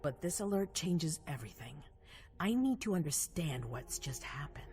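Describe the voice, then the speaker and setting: An actress playing a composed, calculating vampire ruler in a video game cutscene, measured and authoritative. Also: female voice, low voice, big pitch swings, a little mellow. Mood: commanding, measured. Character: commanding vampire prince woman, composed, calculating, regal. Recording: faint music behind.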